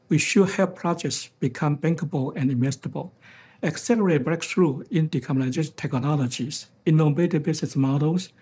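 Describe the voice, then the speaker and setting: Taiwanese accent guy giving a formal conference speech, really raspy husky voice, soft dark tone, careful delivery. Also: a little high. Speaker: financial executive giving a keynote speech.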